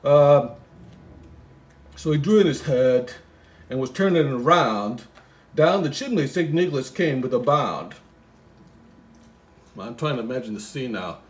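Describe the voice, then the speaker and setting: Man playing a goofy, baffled reader with swooping pitch. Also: animated, a little slow, pauses often, close to an everyday voice. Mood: puzzled. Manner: bewildered, slangy, mock-indignant muttering. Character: Floyd, goofy, baffled, easily sidetracked male storybook reader. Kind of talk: comic poem reading with rambling asides.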